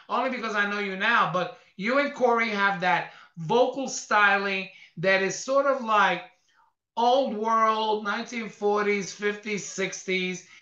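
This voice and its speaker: A man's voice: high-pitched, bright, forceful tone. A man hosting an interview in casual chat, rambling and tripping over his words. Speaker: podcast host. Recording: roomy, dull lo-fi sound.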